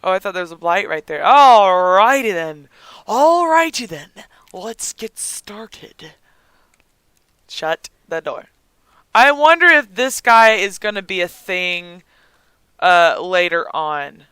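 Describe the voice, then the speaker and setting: A gaming host with a hoarse, raspy, squeaky voice, chatting casually over gameplay with a lot of giggling and rambling ums. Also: lively intonation, slow, sharp tone, no room sound. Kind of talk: casual let's-play commentary.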